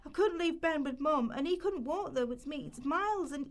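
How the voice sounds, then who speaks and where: Woman acting high-pitched, flustered young mother. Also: expressive, moderate pace. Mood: pleading, distressed. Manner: flustered, apologetic, pleading. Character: desperate young mother pleading over sanctioned benefits. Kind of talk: amateur radio play rehearsal.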